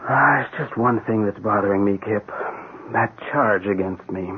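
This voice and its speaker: An actor playing an earnest wounded police sergeant recovering in a radio drama. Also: male voice, fairly low pitch, moderate pace, breathy edge. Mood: troubled. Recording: tinny band-limited radio recording, faint noise.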